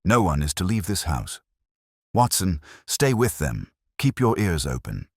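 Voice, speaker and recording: A man voicing a shrewd, commanding Victorian detective with measured, formal speech in an audiobook story. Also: low-pitched, sing-song, a little mellow, husky, no room sound, studio quality, heavily acted. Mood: firm, resolute. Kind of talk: audiobook character dialogue.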